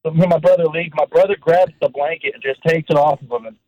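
A man's voice: on the high side, fairly even intonation, fast talker. A man calling a radio show and casually telling a story. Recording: phone call with faint noise, clicks.

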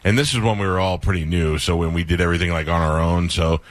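A man with a very low voice hosts a radio show from a dead, dry studio, recounting events in a loose, rambling way. He drifts through fillers and asides in a matter-of-fact tone. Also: steady tone, fast, no echo. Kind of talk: casual radio chat.

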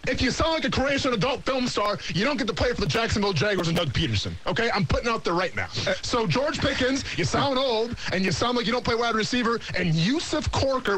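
Male radio host, high voice, rambling and jokey, faint music bed. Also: a bit bright, low-quality recording. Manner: rambling, joking, repeats himself. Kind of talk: jokey sports talk show banter.

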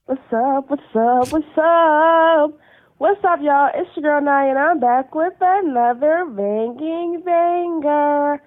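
A woman with a very high voice records an exuberant voicemail greeting. She is slangy and sing-song, and the playback is dull and lo-fi. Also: takes it slowly. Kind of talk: playful outgoing voicemail greeting. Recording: muffled band-limited voicemail playback.

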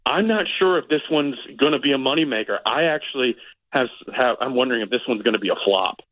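Male radio guest critic, rapid conversational delivery, chatty and opinionated, mid-pitched, dry sound. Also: expressive, dry recording, phone-quality sound. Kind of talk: casual radio movie review chat.

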